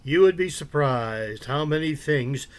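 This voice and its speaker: A man playing calm husband Bob. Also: on the high side, unhurried. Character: Bob, Bee's devoted, menacing husband. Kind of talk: radio drama acting.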